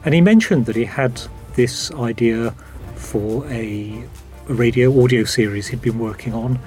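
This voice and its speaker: A man speaks as a producer recalling how a production came about. His pitch is average for a man, and music plays faintly behind him. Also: unhurried, a little mellow. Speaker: audio drama producer. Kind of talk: behind-the-scenes interview account.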